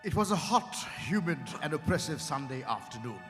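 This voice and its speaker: An actor playing a dramatic male storyteller, narrating a literary piece in a theatrical, deliberate manner. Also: a little high, very animated, a bit bright. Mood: suspenseful, descriptive. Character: dramatic male storyteller recounting a strange encounter. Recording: echoing hall with music behind. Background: music.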